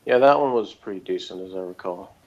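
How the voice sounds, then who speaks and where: A man's voice: deep voice, fairly quick, muffled recording. A man co-hosting a casual, opinionated episode review in a rambling, loosely phrased way, with faint noise behind him. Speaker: review show co-host.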